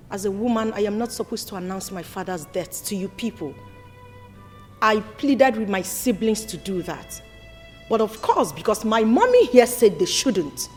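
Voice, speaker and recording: A fiery high-voiced daughter. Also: female voice, heavily acted. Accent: Nigerian. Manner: scolding, sharp and fiery. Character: angry young woman confronting her family. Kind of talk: film drama acting.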